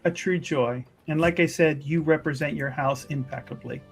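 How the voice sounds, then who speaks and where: A man voicing a pious, gracious chantry sister with formal, deliberate speech in a fantasy tabletop game. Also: fairly high pitch, fairly even intonation, vocal fry, soft background music.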